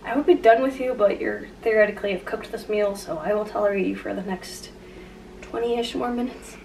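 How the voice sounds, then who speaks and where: A woman's voice: medium pitch, steady tone, fairly quick, gravelly, darkish tone, a little hiss or hum, lightly acted. A young woman playing an exasperated, foul-mouthed male killer in an improvised cosplay skit, indignant and sarcastic. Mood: bitter, insulting. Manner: indignant, sarcastic, heavy swearing. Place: home kitchen and dining room. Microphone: handheld camera.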